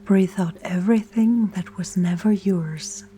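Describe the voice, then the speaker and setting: Very slow, soft-spoken woman guiding meditation. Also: mid-range voice, slight background noise. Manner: soft, soothing, often breathy and near-whispered, with deliberate pauses. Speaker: meditation guide. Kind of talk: gentle scripted guided meditation. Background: soft meditation music and singing bowl tones.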